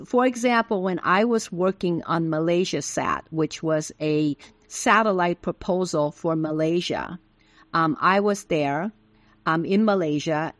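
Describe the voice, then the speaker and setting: A woman's voice: deep voice, no echo. A middle-aged woman from Taiwan whose first language is Taiwanese Hokkien, patiently explaining things as an interview guest. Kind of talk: interview answers.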